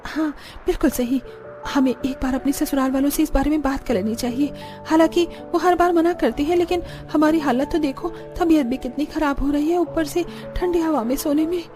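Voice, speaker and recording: Lady doing young village daughter in law for a cartoon, high pitched, whiny complaining, dramatic. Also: steady tone, moderate pace, mellow, soft background music, natural voice.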